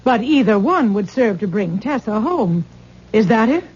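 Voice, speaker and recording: A muffled vintage radio play with a no-nonsense household protector. Her voice is fairly high and husky, and she speaks firmly and dryly, with little patience for nonsense. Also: very animated, moderate pace, darkish tone. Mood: scolding, exasperated. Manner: skeptical, firm, dry. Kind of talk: 1970s radio drama acting. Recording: muffled, dull old radio broadcast.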